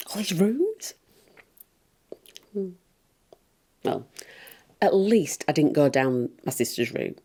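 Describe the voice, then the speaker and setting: Lady playing a catty panto ugly sister, low voice, very up and down, smug gossipy social climber. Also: very animated, slow, pauses often, dark tone, breathy edge, full character voice. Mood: smug, dreamy. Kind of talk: comic dramatic monologue.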